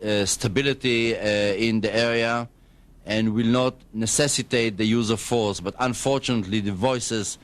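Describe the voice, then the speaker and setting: A man with an Israeli accent speaking as an official in a radio interview, choosing his words carefully and haltingly. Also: lowish voice, steady tone. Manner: measured, careful, hesitant diplomatic phrasing. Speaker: government spokesman, radio guest.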